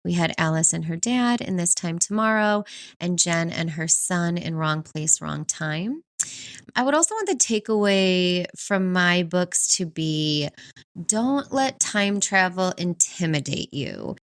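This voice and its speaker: A woman's voice: lowish voice, animated, measured pace. A woman co-hosting a book podcast, talking conversationally and enthusiastically as she recommends books. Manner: enthusiastic, chuckles often.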